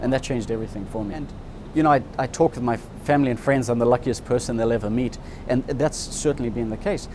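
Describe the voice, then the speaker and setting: A man's voice: mid-range voice, fast talker, darkish tone. Reflective man, traffic noise. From Zimbabwe. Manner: reflective, candid, earnest. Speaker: interviewee, subscriber. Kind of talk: personal storytelling in an interview.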